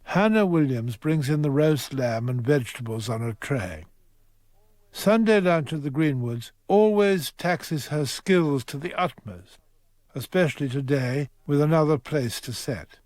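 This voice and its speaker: Man narrating a radio play, kinda high voice, no room sound, wry storyteller. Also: lively intonation, a little slow, a little mellow, no echo, clean studio sound. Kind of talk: scripted radio drama narration.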